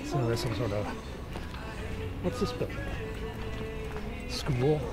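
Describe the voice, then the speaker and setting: A man's voice: medium pitch, animated, slow, loud music behind the voice. A man chatting casually to viewers in an offhand, musing way as he walks outdoors through a city. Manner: offhand, musing aloud to himself. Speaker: travel vlogger. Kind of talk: casual walking vlog chat to viewers. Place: outdoors walking on a city street. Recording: loud street noise, traffic outdoors. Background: urban street ambience with vehicles.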